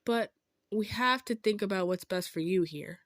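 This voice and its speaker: A woman's voice: medium pitch, moderate pace, dry recording, studio quality, close to an everyday voice. Kind, hesitant female centaur, played by a woman. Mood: torn, apologetic. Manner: gentle, hesitant, stammering, earnest. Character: kind, modest, earnest female centaur traveler. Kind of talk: improvised roleplay audio drama, addressed to listener.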